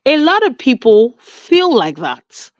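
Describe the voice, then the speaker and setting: A woman's voice: high-pitched, takes it slowly, no echo. A woman from Nigeria with a Nigerian accent, telling stories emphatically in a casual online chat. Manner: animated, emphatic storytelling with frequent restarts. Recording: dull lo-fi audio.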